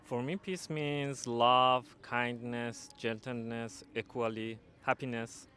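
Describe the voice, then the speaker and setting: A man's voice: medium pitch, fairly even intonation, takes it slowly, sharp tone. A man, a Farsi speaker, answering a street interview outdoors earnestly, in simple phrasing. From Iran. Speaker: festival participant. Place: outdoor festival square.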